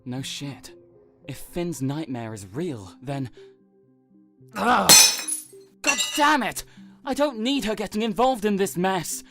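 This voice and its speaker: A man's voice: sits high, expressive, slow, long pauses, music in the background, heavily acted. A man playing a blunt, irritable and protective uncle in an audio drama. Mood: angry, frustrated. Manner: blunt, exasperated. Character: irritable, protective uncle, gruff and worried, swears freely.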